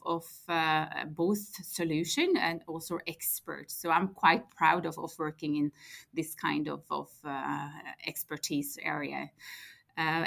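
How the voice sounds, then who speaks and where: A woman's voice: fairly low pitch, a bit bright. A woman in her forties with a Norwegian accent, explaining her work as an interview guest and repeating words as she thinks. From Norway. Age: 40s. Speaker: guest, head of core banking. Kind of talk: interview answers.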